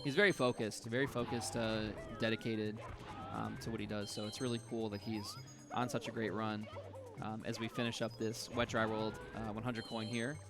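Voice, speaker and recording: A man's voice: on the low side, normal speed, hardly any pauses. Chatty man commentating a speedrun. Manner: relaxed, chatty, laughs often, explains tech. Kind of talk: live game commentary. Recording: music playing behind.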